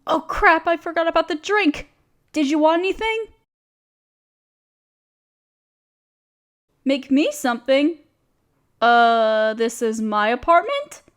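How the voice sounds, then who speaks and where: A woman's voice: high voice, expressive, a little slow, a bit bright. A woman playing an overworked, apologetic young woman confiding hesitantly in a close friend in an intimate audio roleplay. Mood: flustered, protesting. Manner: self-deprecating, hesitant, casual. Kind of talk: roleplay audio drama, intimate one-sided conversation.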